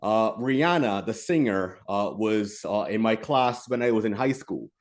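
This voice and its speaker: A man's voice: lowish voice, a little slow. A man in his thirties from Barbados, giving a friendly, carefully paced online keynote presentation. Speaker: keynote speaker.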